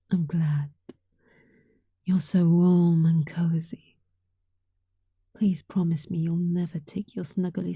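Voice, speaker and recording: A woman's voice: fairly low pitch, no room sound, heavily acted. A woman playing a sweet, affectionate young catgirl roommate in an intimate roleplay, speaking tenderly and breathily, often close to a whisper. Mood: cuddly, affectionate. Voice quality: soft, breathy. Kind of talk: intimate roleplay audio, softly acted monologue.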